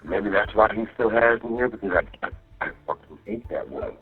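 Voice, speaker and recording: A man's voice: fairly low pitch, a little fast, husky. A man talking casually and profanely on a phone call, rambling and often repeating himself to check he is understood. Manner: rambling, repeats himself with "you know what I mean". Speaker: jail inmate on a phone call. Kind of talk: casual, profane venting to a partner. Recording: muffled jail phone line, faint music.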